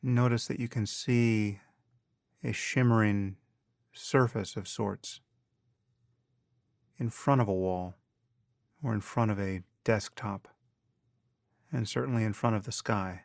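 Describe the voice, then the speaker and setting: A man guiding a meditation as a teacher, calm and measured, speaking in short phrases with long pauses. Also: lowish voice, gravelly, no echo, clean studio sound. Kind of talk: guided meditation instruction.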